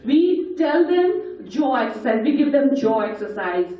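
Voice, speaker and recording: Husky high-voiced Indian woman in an echoing hall. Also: sing-song, measured pace. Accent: Indian English. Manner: instructive, counts aloud and guides the group step by step. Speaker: voice and breathing workshop leader. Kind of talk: leading a group workshop with breathing and sound exercises. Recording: echoey hall, distant, dull mic.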